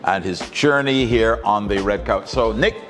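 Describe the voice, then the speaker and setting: A low-voiced male talk show host welcomes his guest warmly and repeats words as he talks. A faint hall reverb hangs around his voice, and soft music plays in the background. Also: normal speed. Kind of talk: interview hosting.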